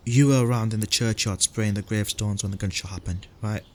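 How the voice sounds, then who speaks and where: A man's voice: lowish voice, brisk, slight background noise, close to an everyday voice. Hesitant, formal male police detective, as acted by a man. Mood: stern. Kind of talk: audio drama acting.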